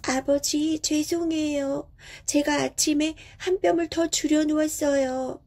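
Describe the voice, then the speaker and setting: A woman's voice: high-pitched, fairly even intonation, unhurried, a little mellow, pitched above the performer's own voice. A woman voicing a sweet young daughter in a storybook tale, with a girlish, earnest, polite delivery. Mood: sorry, tearful. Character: sweet, dutiful young daughter of village father.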